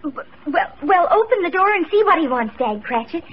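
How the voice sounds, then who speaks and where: A high-voiced, animated young housewife. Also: female voice, a little fast. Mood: fretful, sympathetic. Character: poor, chirpy, fretful young housewife. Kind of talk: 1940s radio comedy acting. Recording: muffled, noisy old radio broadcast.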